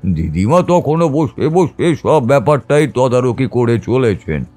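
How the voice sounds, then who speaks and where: Slow, dramatic male storyteller over music. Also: mid-range voice, sing-song, a little mellow. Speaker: story narrator. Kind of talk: scripted, literary first-person ghost story narration. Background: background music.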